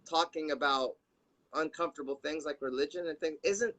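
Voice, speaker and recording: A man from Florida speaks as a guest with a voice a little higher than usual. His talk is easygoing and loose, often paused with fillers and restarts. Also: a bit bright. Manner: easygoing, hesitant, many fillers. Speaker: guest, martial arts instructor. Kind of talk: casual conversation.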